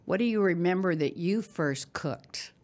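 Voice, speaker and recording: Older lady hosting a podcast, talks slow, chatty interview style. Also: lowish voice, lively intonation, a little mellow. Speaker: podcast host. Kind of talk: conversational interview and sponsor read.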